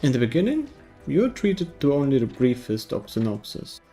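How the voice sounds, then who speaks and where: A man's voice: mid-range voice, sing-song, moderate pace, mellow, faint music behind. A man narrating a scripted video game review in a wry, tongue-in-cheek way.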